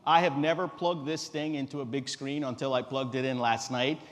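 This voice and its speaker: A middle-aged man from Guyana giving an informal live stage presentation in a relaxed, direct, joking manner. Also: medium pitch, moderate pace. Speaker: company founder presenting a tech demo. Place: conference stage in a large reverberant hall. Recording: echoey hall through a stage PA.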